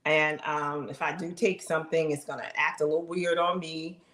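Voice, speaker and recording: A middle-aged woman streaming live, telling viewers her own story in a casual, animated way and talking straight to them. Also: deep voice, moderate pace, roomy sound. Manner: animated, talks straight to the audience, exclaims, laughs. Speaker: live streamer sharing her health journey.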